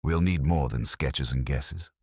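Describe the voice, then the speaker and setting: A man's voice: a bass voice, a little slow, darkish tone, husky, dry recording, studio quality, heavily acted. A man voicing a practical, sceptical police inspector in an audiobook, matter-of-fact. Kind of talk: audiobook detective story dialogue.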